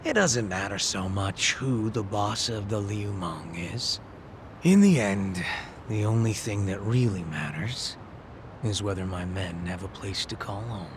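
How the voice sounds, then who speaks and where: A man voicing a weary gang leader, tough but soft-hearted, in a game cutscene. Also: lowish voice, expressive, measured pace, noisy background. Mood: tired. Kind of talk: video game cutscene acting. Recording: game audio with music behind.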